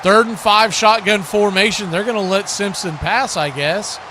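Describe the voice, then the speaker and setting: An American man doing live football play-by-play commentary, conversational between snaps and animated on big plays. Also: high voice, moderate pace, noisy background. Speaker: play-by-play sportscaster.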